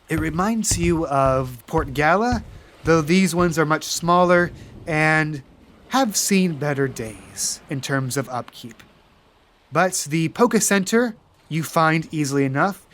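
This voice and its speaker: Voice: medium pitch, lively intonation, slow pace, slight background noise. A game master narrating a tabletop roleplay podcast in a casual, descriptive, conversational way. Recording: music under the voice.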